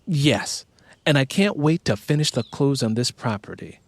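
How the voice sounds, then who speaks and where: A man's voice: mid-range voice, expressive, moderate pace, dry recording, close to an everyday voice. A man voicing an enthusiastic wife who coaxes and persuades in audiobook dialogue. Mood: eager, persuasive. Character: adult wife, eager, persuasive, house-proud real estate agent.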